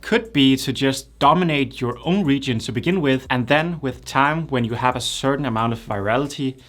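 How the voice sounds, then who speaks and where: A young man speaking English, his voice sitting at an ordinary middle pitch. He explains things plainly and step by step, like a creator running a how-to video for his channel. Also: normal speed, fairly bright tone, faint music behind. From Denmark. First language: Danish. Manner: plain, step-by-step instructional delivery. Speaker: YouTube creator. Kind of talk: tutorial explainer.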